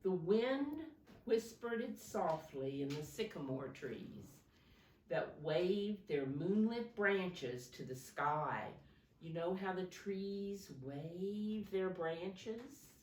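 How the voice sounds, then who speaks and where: A woman teacher reading a picture book aloud to preschoolers, expressively, pausing to explain simply and ask the children questions. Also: on the low side, a little mellow. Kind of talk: storytime read-aloud for young children. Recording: roomy, echoey sound, distant from mic.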